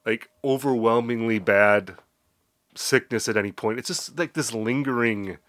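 A man's voice: medium pitch, a little slow, a bit bright, dry recording. A man hosting a music podcast in casual chat, congested and getting over an illness, talking in a rambling, self-deprecating way. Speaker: podcast host.

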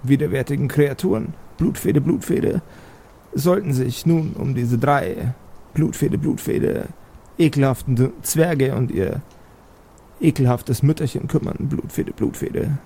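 A man voicing a small, spiteful talking skull that announces for witches in a tabletop game, haltingly, with a chanted refrain. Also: medium pitch, mellow, breathy edge. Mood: spiteful, announcing.

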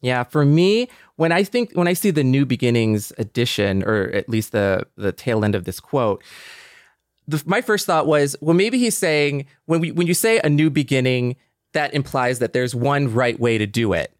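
A man of middling pitch co-hosts a podcast in a casual, thoughtful style. He sounds close to the mic, with no room echo at all. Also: expressive, moderate pace. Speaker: podcast co-host.

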